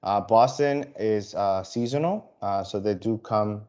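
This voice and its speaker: A man from the Dominican Republic, an airline sales representative, giving a casual, halting presentation to travel advisors, full of fillers and restarts. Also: fairly low pitch, a little mellow, dull audio.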